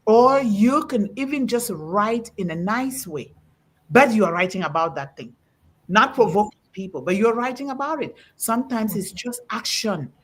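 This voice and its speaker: Husky, animated woman preaching with emphasis. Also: on the high side, normal speed. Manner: emphatic, quotes scripture with rising emphasis. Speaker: host. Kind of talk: preaching-style talk on a panel.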